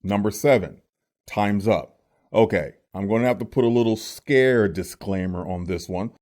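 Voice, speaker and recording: A man's voice: on the low side, normal speed, a little mellow, a little hiss or hum. A man speaking as a voice actor giving a casual, scripted tutorial.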